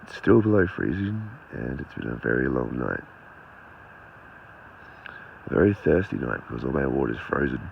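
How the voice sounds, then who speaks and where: A man with a croaky, thirsty voice, speaking softly into his camera from inside a tent at dawn. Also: very deep voice, very animated, moderate pace, long pauses, dull audio, slight background noise. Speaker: hiker filming a trail diary. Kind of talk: quiet morning vlog narration.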